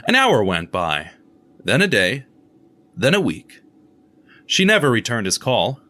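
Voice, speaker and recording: A man narrating a radio drama in a measured, somber storytelling voice. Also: mid-range voice, huge pitch swings, sharp tone. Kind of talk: scripted narration.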